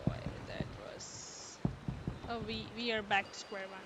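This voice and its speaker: A woman's voice: medium pitch, little pitch movement, unhurried, very noisy. A woman livestreaming a game with casual commentary, reacting aloud and repeating words. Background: loud game music.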